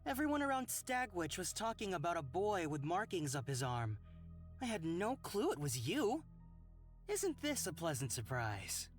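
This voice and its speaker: A woman voicing a bold, curious and flirty traveller in a fantasy visual novel, expressive and teasing. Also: lowish voice, moderate pace, faint music behind.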